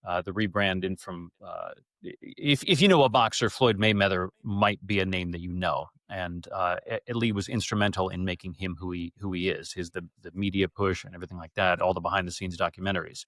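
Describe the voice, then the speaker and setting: Animated storytelling man, lowish voice, dry sound. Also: a little fast, no echo. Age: middle-aged. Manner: animated, rambling, acts out quoted speech. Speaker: interview guest, actor. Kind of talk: casual conversational storytelling.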